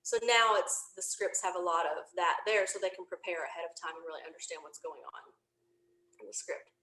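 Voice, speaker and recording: A woman chatting casually as an interview guest, explaining her work in an animated, expressive way. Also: lowish voice, brisk, roomy sound, rough recording. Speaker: podcast guest, audio drama writer.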